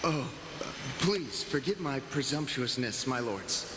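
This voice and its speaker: A man's voice: mid-range voice, expressive, unhurried, noisy background, close to an everyday voice. An actor voicing a timid, deferential male bathhouse attendant in a fantasy video game, hesitant, apologetic and obsequious. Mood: nervous, servile. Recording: echoey long reverb under loud music.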